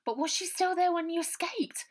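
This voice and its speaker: A woman's voice: very high-pitched, normal speed, pitched above the performer's own voice. A woman voicing an anxious young girl with an English accent, direct and questioning, in a storybook reading. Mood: urgent, worried. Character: anxious, urgent girl searching for her little sister.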